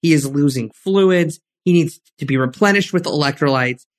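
A woman co-hosting a casual chat podcast, animated and quick to laugh as she retells favorite moments. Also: low-pitched. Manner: animated, quick to laugh, tells sports stories with enthusiasm. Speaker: podcast co-host.

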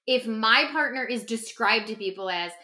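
A woman speaking emphatically and conversationally as a podcast guest, explaining self-help ideas in an animated way. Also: fairly high pitch, normal speed. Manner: emphatic, acts out example dialogue. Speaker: podcast guest and author discussing their own book.

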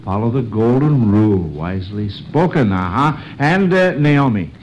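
A man's voice: on the low side, animated, moderate pace, darkish tone, slight background noise, rough recording. An American man hosting a 1940s radio quiz for a panel of children, with a folksy, encouraging manner. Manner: encouraging, folksy, repeats and affirms answers.

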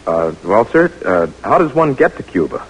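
Doubting captain for radio play: low husky voice, animated, respectful but protesting. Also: male voice, normal speed, rough recording. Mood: skeptical, protesting. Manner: hesitant, emphatic, deferential. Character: skeptical, worried, experienced army captain objecting respectfully. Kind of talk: old-time radio drama acting.

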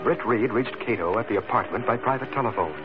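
A man's voice: medium pitch, fast talker. A man narrating a radio serial in a formal 1940s announcer style. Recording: muffled vintage radio, loud music behind. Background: music.

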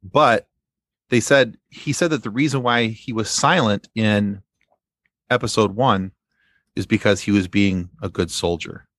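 A man's voice: lowish voice, a little slow, a bit bright, no echo, studio quality. A man in a casual fan discussion as a podcast guest, rambling and circling back to repeat his phrases.

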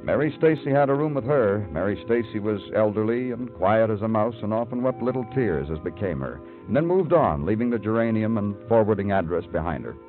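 An actor playing a weary, terse big-city police detective in a 1950s radio crime drama, with clipped, world-weary delivery. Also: male voice, lowish voice, expressive, fairly quick, few pauses, lightly acted. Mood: brooding. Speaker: narrator and lead actor. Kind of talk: 1950s radio crime drama, poetic hard-boiled narration. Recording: tinny old radio broadcast with music.

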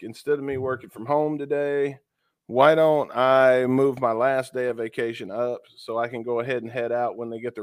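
A man's voice: medium pitch, clean tone, no echo, studio quality. A man co-hosting a podcast, chatting casually and profanely with many fillers, sounding stuffy and sniffly from being sick. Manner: loose and profane, lots of fillers. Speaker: podcast co-host.

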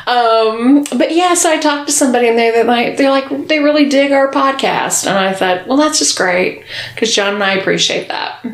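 A woman's voice: a little high, moderate pace, reverberant. A woman co-hosting a podcast in casual chat, giggly and profane as she banters. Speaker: podcast co-host.

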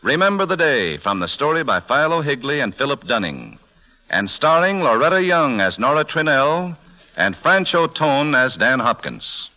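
A man's voice: mid-range voice, sing-song, moderate pace, a little hiss or hum, poor audio. A man announcing and narrating a scripted radio drama in 1940s broadcast style. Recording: old radio broadcast, loud music behind.

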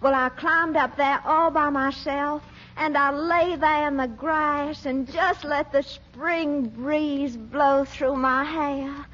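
A woman's voice: high-pitched, steady tone, slow pace, hardly any pauses, fairly bright tone. A woman playing a flirtatious, sweet-talking lady who gushes coquettishly in a 1940s radio comedy. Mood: dreamy, inviting. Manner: gushing, coquettish. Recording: dull, noisy old radio recording.

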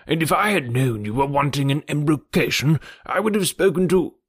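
A man voicing a fussy, anxious elderly gentleman who dotes on his daughters and worries over health, in an audiobook. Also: fairly high pitch, lively intonation, normal speed, husky, no room sound, clean studio sound, pitched above the performer's own voice. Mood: fretful doting. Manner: doting, worried, gently insistent. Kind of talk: audiobook character reading.

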